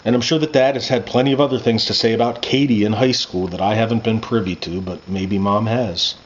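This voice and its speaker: A man, an author reading his own first-person coming-of-age fiction aloud in a conversational, expressive way. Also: lowish voice, fairly quick. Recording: muffled lo-fi with background noise.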